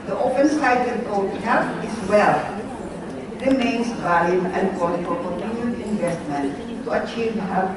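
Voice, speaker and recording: A woman from the Philippines with a Filipino accent, giving a conference presentation with frequent filler pauses. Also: on the low side. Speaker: conference speaker. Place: large conference hall. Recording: echoey hall from far off, audience noise.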